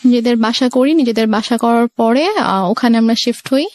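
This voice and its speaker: A woman's voice: a little high, moderate pace, a little mellow, dry recording. A woman in her twenties with a Bangladeshi accent, telling her life story in a radio interview and mixing in occasional English words. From Sirajganj, Bangladesh. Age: 20s.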